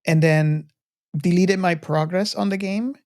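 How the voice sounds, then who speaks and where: Guy hosting a casual podcast, voice a bit high, rambles haltingly, really dry close sound. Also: fairly even intonation, a little mellow, no room sound. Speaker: podcast host. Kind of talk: casual hobby chat.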